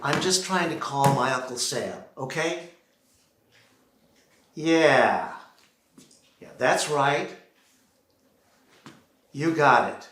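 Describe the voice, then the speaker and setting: An American man performing a theatrical solo monologue, deliberately acting out one side of a phone call on a theater stage. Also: medium pitch, animated, fairly bright tone, slight background noise. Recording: roomy, echoing live theater sound.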